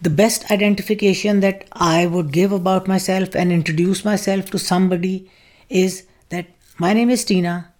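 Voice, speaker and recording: A woman's voice: mid-range voice, fairly even intonation, moderate pace, darkish tone. An older woman from Pakistan, the host's mother, thinking back in an interview and making light of serious things. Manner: reflective, jokes about serious things. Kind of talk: interview recollection.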